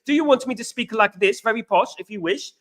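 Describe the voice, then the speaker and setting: A chatty young man from England hosts a casual livestream, greeting viewers by name and repeating his words. His voice sits high and sounds bright and forceful. He keeps a relaxed, talkative flow. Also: fast. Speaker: host.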